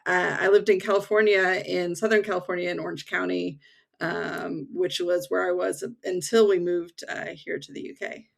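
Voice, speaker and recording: A woman from the United States in her thirties chats as a remote podcast guest. Her voice sits low with a gravelly fry. She talks in a relaxed way, with fillers and small laughs. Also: moderate pace. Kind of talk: casual conversation. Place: home in England.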